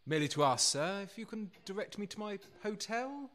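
A man's voice: sits high, very animated, fairly quick, fairly bright tone. A man acting an overly polite, pompous stranger in a radio play, formal and florid, in a noisy, distant recording. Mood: courteous.

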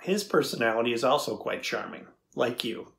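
A middle-aged man playing a thoughtful, curious AI researcher in a dramatized interview, deliberate and inquisitive. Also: medium pitch, expressive, vocal fry, a bit bright, husky, heavily acted. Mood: explaining. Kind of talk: dramatized transcript reading. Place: indoors before a studio backdrop.

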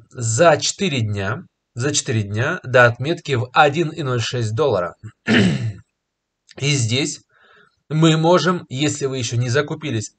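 A young man with a slight cold talks through a crypto price chart with confident, persuasive energy, pausing on filler words as he goes. He sits in a small indoor room, picked up by a webcam. His voice sits at an ordinary middle pitch for a man. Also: fairly bright tone. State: slightly ill, clearing throat and coughing. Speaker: crypto market commentator. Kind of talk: screen-share market analysis to camera. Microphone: webcam in a corner of a screen recording.

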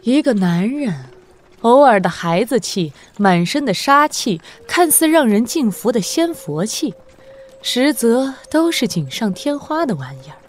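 A woman's voice: a little high, big pitch swings. Imperious, sardonic woman sovereign. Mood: wistful, reproving. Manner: deliberate, sardonic, theatrical delivery. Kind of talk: Chinese wuxia audio drama acting.

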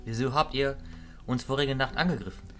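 A man's voice: mid-range voice, a little fast, faint music behind, natural voice. A man playing a blunt, grumpy bearded illusionist, a sceptical leader in a fantasy audio drama. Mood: grumbling, dry.

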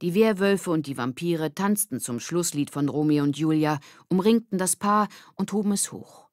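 A woman's voice: lowish voice, moderate pace, a little mellow, no echo, clean studio sound. A woman reading a children's story as a scripted audiobook narration.

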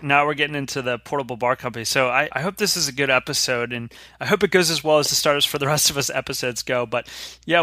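Man in his thirties, podcast co-host; bright tone, dry close mic, easygoing storyteller. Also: fairly high pitch, dry recording. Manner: relaxed storytelling, explaining step by step. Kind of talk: casual business podcast chat.

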